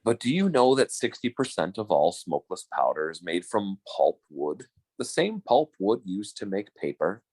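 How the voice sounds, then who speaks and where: A man's voice: fairly low pitch, big pitch swings, moderate pace, darkish tone, breathy edge, no echo, full character voice. A man playing an earnest, formal 1940s radio announcer, reading in a polished, declamatory style. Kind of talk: 1940s radio announcing, scripted sponsor message.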